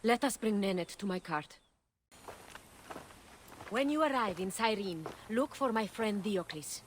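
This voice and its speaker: An actress voicing a kind young noblewoman, earnest and reassuring in a medium pitch. Faint noise sits under her lines. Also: lively intonation, measured pace, natural voice.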